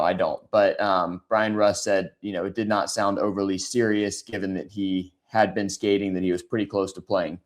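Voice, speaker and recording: Raspy, hoarse man hosting a sports podcast. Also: lowish voice. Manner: conversational, many filler ums. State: hoarse from shouting. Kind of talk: casual sports analysis.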